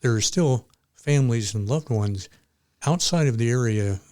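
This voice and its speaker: A man speaking as a club member and guest in a casual interview, explaining things in a measured way. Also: medium pitch, dark tone, no echo.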